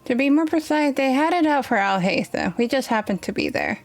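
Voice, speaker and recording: A woman's voice: high voice, lively intonation, a little fast, soft background music. A woman giving casual gaming reaction commentary. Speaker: reaction video maker.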